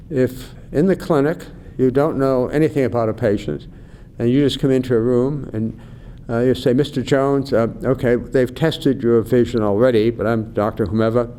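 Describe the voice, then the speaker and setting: An older man, an eye researcher, explaining slowly and carefully in an interview, acting out doctors and patients as he goes. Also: dark tone. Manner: measured, acts out doctor and patient. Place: conference venue. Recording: faint low rumble behind.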